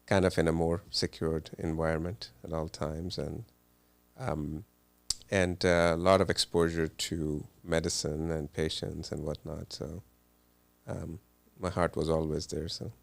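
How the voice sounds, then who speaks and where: A middle-aged man from Pakistan, a cardiologist, telling his story in an interview with many pauses and ums. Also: a bass voice, flat delivery, gravelly, no room sound. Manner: hesitant, many ums and uhs. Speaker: cardiologist guest. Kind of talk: relaxed interview answers.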